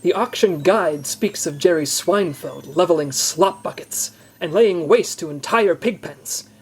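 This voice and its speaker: A man's voice: fairly high pitch, a little slow, slightly rough. A man playing an earnest special agent in an audio comedy, eager and defensive as he strains to sound fine. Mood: earnest briefing. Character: eager, strained male special agent hiding his soreness.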